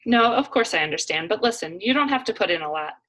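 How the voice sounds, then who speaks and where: A woman voicing an anxious, proud immigrant mother in a children's storybook, expressive and insistent as she keeps up a brave front. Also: medium pitch, fast talker. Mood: eager, persuading.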